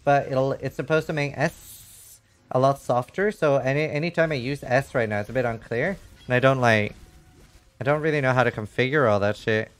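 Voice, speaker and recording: A man's voice: mid-range voice, moderate pace, smooth. A man doing casual live game commentary, reading chat and thinking aloud in a frank, rambling way with some casual swearing. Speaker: livestreamer.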